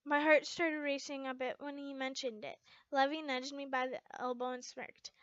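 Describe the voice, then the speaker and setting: A woman reading a first-person story aloud expressively, in a halting way with some stumbles over the words. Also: sits high, flat delivery, a bit bright, no echo, muffled recording. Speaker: amateur fan fiction reader.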